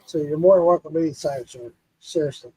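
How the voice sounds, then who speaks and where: A man hosting a casual live podcast who is a bit tipsy from drinking, warm in his thanks and earnest as he repeats them. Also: on the high side, brisk, husky, no echo, poor audio. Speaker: podcast host.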